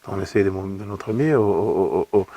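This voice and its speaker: Gravelly low man with an Argentine accent. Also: normal speed, warm tone. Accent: Argentine Spanish. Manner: thoughtful, repeats words while searching for them. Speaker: opera singer guest. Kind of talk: relaxed interview talk. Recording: voice from a distant mic.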